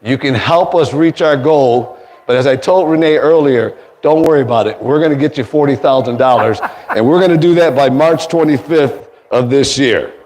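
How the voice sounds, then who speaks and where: A man with a cold, his voice raspy, giving a formal, courteous welcome speech as chairman at an indoor event. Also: mid-range voice, normal speed, darkish tone. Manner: courteous, thanking people one by one. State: getting over a cold. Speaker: foundation board chairman. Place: indoor museum event space. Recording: long hall reverb.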